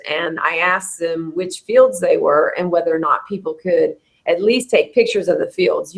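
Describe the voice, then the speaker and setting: Woman host, hoarse and worn, chatty, slightly low-pitched. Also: rough recording. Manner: chatty, quick to laugh. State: hoarse, losing her voice. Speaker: host of a bluebonnet bloom update. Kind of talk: casual live chat with a co-host.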